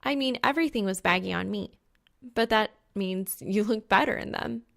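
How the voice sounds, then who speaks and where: A woman voicing a brash, excitable young female gamer and cosplayer with a cheeky, bubbly manner, in an audiobook style. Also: normal speed, creaky. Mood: cheeky, upbeat. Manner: screeching and squeaking outbursts, bubbly.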